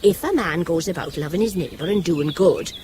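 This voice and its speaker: An actress plays a devout Irish woman who argues with righteous, sharp-tongued wit. Her Irish voice moves with lively intonation. It is radio drama dialogue, with slight noise behind it. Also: fairly low pitch, a little fast, close to an everyday voice. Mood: righteous, combative.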